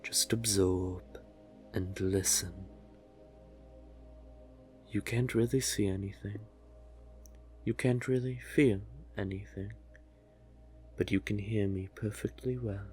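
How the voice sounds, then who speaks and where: A seductive merman sea witch, played by a man, speaks in a low voice at a very slow, deliberate pace. He is doting and manipulative, coaxing and soothing the listener as in a hypnotic roleplay. Music plays softly behind him. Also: fairly even intonation.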